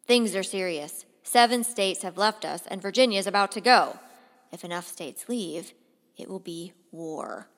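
A woman voicing a serious, worried male president in a grave, measured read-aloud of a graphic novel. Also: mid-range voice, big-room sound, lightly acted.

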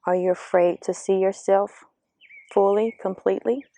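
A woman speaks slowly and earnestly, coaching the listener with gentle questions. Her voice is gravelly with a dark, mellow tone. Birdsong drifts in behind the dry recording. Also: mid-range voice, fairly even intonation, no room sound. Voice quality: slightly raspy. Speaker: vlogger giving spiritual encouragement. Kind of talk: reflective motivational monologue. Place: outdoors among birds.

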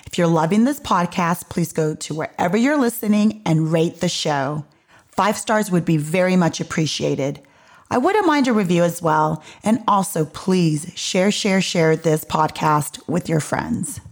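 A woman's voice: fairly low pitch, expressive, a little slow. A woman born in Puerto Rico hosting a solo podcast, chatting in a rambling way with many ums and restarts. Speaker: podcast host. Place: home in Georgia, USA.

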